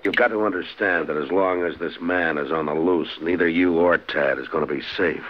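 An actor playing a steady, authoritative American frontier marshal in a 1950s radio western. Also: male voice, a little fast, slight background noise, lightly acted. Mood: earnest, persuasive. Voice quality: very deep, husky. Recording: muffled 1950s radio recording.

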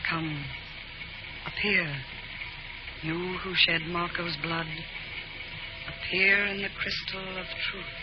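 An old, muffled radio recording with loud music. a haughty fortune teller, dramatic and incantatory, as at a seance. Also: female voice, lowish voice, little pitch movement, takes it slowly, pauses often. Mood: eerie incantation. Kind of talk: 1940s radio acting.

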